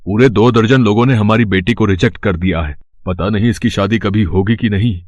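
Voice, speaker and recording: A man's voice: lowish voice, a little fast, no room sound, close to an everyday voice. A man playing a worried middle-aged father with a fretful, earnest manner.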